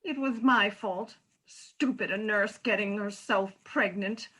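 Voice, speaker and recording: A woman's voice: medium pitch, slow, sharp tone, poor audio. A woman playing a frank, middle-aged former nurse, pointed and teasing yet earnest, in a comic stage play reading. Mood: nervous, confessing.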